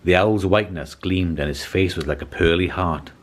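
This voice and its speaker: A man reading a children's story aloud as scripted narration, in an expressive storytelling manner. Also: deep voice, moderate pace. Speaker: storybook reader.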